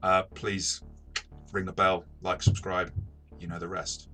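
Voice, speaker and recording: A man's voice: sits low, little pitch movement, a little slow, sharp tone, faint music behind. A man hosting a casual review discussion as a game master, phrasing his points thoughtfully and correcting himself as he goes.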